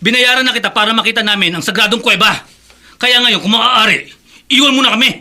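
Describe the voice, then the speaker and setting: A man's voice: extremely high pitch, quick pace, noisy background. An actor playing an agitated, hostile man in a Filipino horror radio drama, speaking in an emotional, confrontational way. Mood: hostile, suspicious.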